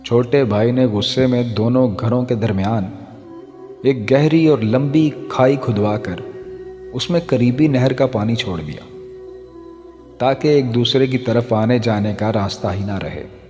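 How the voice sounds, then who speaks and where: A man narrates a moral tale at a slow, unhurried pace. His mid-pitched voice is formal and measured, like a scripted reading. It is soaked in long hall reverb. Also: a little mellow. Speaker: storyteller. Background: background music.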